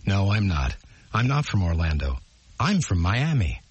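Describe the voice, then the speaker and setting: A man's voice: sits low, very animated, unhurried, husky, dry recording, heavily acted. A man playing a courteous American interview guest in a scripted English lesson, answering simply and politely.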